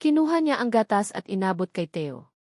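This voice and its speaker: A woman narrates a scripted audio drama in a storytelling style. Her voice sits at a middle pitch. The sound is dry, with little room around it. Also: sing-song, moderate pace, a little mellow, no echo.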